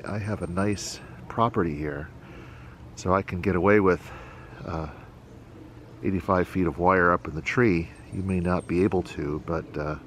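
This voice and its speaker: A middle-aged man hosting a casual explanatory vlog in a halting, unhurried way, recorded outdoors in a backyard. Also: low-pitched, a little hiss or hum. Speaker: channel host.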